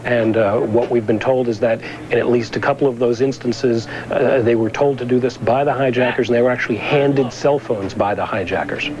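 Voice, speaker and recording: A man giving a live, unscripted news report in halting, hesitant phrases as a correspondent. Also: medium pitch, steady tone. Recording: dull broadcast recording, crowd and outdoor noise.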